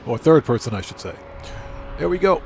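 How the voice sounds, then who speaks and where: Higher-voiced man hosting a casual game stream. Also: big pitch swings, fairly quick, creaky, a little mellow, faint music behind. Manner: chatty, thinking aloud, addressing viewers informally. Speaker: livestream host. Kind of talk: casual video game livestream commentary.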